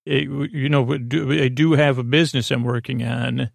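A man hosting a bedtime podcast in a creaky voice, with a soothing, halting, meandering delivery that drifts into tangents. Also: medium pitch, fairly even intonation, no echo, clean studio sound. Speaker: podcast host. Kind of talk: rambling bedtime podcast monologue.

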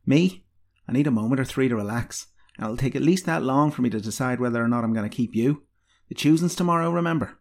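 A man's voice: mid-range voice, fast talker, pitched above the performer's own voice, natural voice. A man voicing an old female healer, a wry and stern but tender mistress with a dry, teasing, commanding way of talking. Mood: bossy, sardonic. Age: older.

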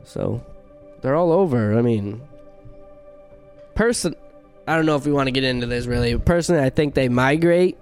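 A man's voice: medium pitch, expressive, normal speed, darkish tone, soft background music. A man hosting a podcast, explaining things earnestly and laughing easily. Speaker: podcast host. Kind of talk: casual chat.

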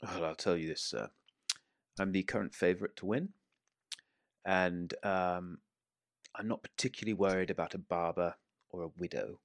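A man's voice: low voice, steady tone, moderate pace, pauses often, no room sound, studio quality. A man voicing a haughty, impatient male mayor who speaks in a clipped, formal and dismissive way.

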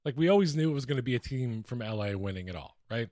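A man's voice: medium pitch, expressive, fast talker, a little mellow, dry recording, clean studio sound. An American man co-hosting a podcast in a casual, conversational sports-chat style. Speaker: podcast co-host.

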